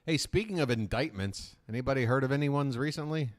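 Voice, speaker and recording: A man hosting a live reaction stream, riffing sarcastically over a shopping broadcast and laughing often. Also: fairly quick. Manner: sarcastic, jokey, laughs often, voice jumps high when mock-ranting.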